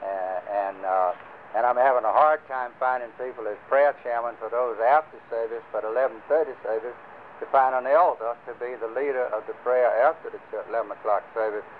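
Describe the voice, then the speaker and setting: An older man leading a church group, talking casually with many pauses and fillers. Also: medium pitch. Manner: rambling, many fillers and restarts. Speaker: church class leader. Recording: lo-fi phone-like recording with faint hiss.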